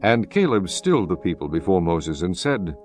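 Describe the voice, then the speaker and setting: Male narrator for scripture: low-pitched, solemn, measured delivery over a soft music bed. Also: very animated.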